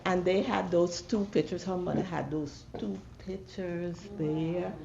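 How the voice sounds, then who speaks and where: A woman's voice: on the low side, fairly even intonation, unhurried, vocal fry, mellow. Woman, about 70, Guyanese accent, reflective personal storyteller; slightly muffled sound, faint noise. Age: older, about 70. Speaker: artist telling her story. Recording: dull sound with background room noise.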